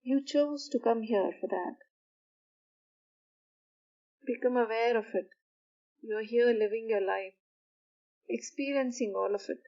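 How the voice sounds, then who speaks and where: A woman with a somewhat high voice leads a guided meditation for sleep. She speaks soothingly in short, measured phrases with pauses between them. The recording sounds a little dull and lo-fi. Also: mellow.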